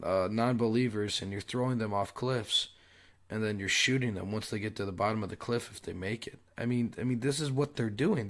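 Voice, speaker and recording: A young adult man hosting a solo commentary show while sick and losing his voice, clearing his throat often and pausing on fillers as he restarts his sentences. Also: mid-range voice, fairly bright tone. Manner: halting, restarts mid-sentence. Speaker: podcast host.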